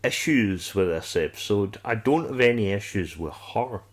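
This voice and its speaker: A man's voice: on the low side, animated, moderate pace. A man co-hosting a podcast, giving insistent opinions in a casual discussion of a television series. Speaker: podcast co-host.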